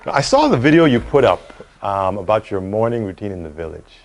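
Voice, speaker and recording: A man's voice: on the low side, big pitch swings, brisk. A middle-aged man from the United States with an American accent, speaking articulately and earnestly as an executive in a conversational interview. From Cleveland, United States. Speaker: real estate executive being interviewed.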